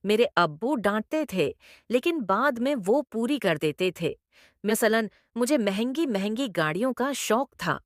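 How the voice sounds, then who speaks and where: A woman's voice: on the high side, animated, a little slow, no echo, studio quality. A woman reading a scripted first-person story as a narrator.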